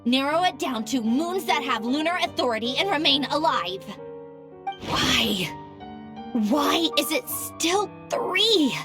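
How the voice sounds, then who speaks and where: A woman voicing an excitable, obsessive young researcher in a video game cutscene, thinking aloud in theatrical bursts. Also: high voice, takes it slowly, over music. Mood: frustrated, baffled. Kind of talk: animated game cutscene voice acting.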